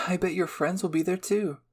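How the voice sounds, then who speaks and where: Man voicing a gentle young woman soldier, lifted pitch. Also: fairly even intonation, normal speed, breathy edge. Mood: friendly, curious. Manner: gentle, sympathetic, soft-spoken. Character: kind, caring young woman soldier, friend to narrator. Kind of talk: ASMR roleplay story voice.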